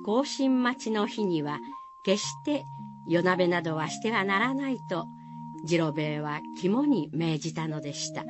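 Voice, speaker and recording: A woman's voice: fairly low pitch, lively intonation, normal speed. A woman reading scripted folktale narration for a radio drama. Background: music.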